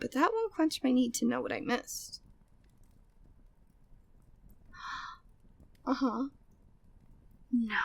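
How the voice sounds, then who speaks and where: A woman's voice: sits high, creaky, darkish tone. A woman playing a flirty, devoted, gossipy maid at a manor in an ASMR audio drama, speaking affectionately and teasingly in formal period wording. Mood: intrigued, gossipy.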